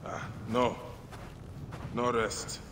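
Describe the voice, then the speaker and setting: A man's voice: medium pitch, little pitch movement, very slow, creaky, noisy background. A man playing a grim, middle-aged Egyptian warrior in a video game, solemn and terse. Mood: grim, resolute. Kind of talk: cinematic video game acting.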